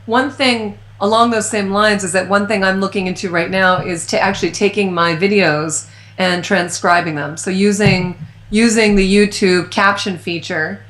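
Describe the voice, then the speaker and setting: A woman interviews a guest and answers with eager, affirming remarks. Her pitch sits in an ordinary middle range, and the recording carries a noticeably roomy echo. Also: steady tone, normal speed, fairly bright tone. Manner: enthusiastic, affirming. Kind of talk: interview conversation.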